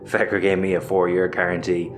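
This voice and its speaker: A man's voice: deep voice, level tone, a little fast, a little hiss or hum, natural voice. A man with an Irish accent playing a hapless, exasperated space captain, hesitant and wry, in an audio comedy. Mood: awkward. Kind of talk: naturalistic audio comedy acting.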